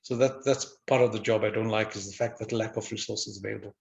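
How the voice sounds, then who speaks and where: An older man from Zimbabwe talks on a webinar panel with a gravelly, creaky edge, keeping his pitch flat and even. He stalls and repeats words as he goes, and the recording sounds dull and lo-fi. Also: quick pace. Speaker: diagnostic radiologist. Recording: dull lo-fi audio.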